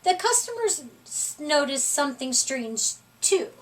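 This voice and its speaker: A woman reading a children's story aloud in an expressive, deliberate way, recorded at a distance from the microphone. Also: sits high. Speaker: storybook reader.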